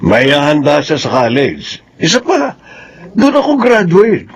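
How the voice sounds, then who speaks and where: A man's voice: on the high side, wildly swooping pitch, a little slow, a little hiss or hum, full character voice. A man playing a strict middle-aged father in a Filipino radio drama, earnest and self-justifying. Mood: insistent, proud.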